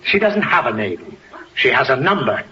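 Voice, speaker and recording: A man's voice: mid-range voice, big pitch swings, moderate pace, pushed and bright. A man playing an exasperated, easily riled father in a 1940s radio comedy, scolding with theatrical bluster. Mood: lecturing. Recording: muffled, hissy old radio broadcast.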